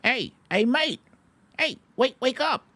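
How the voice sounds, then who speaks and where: A man's voice: very high-pitched, sing-song, takes it slowly, bright, forceful tone, voice pushed up, full character voice. A man voicing a playful, chummy kangaroo that insistently pesters a resting friend. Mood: pestering, puzzled.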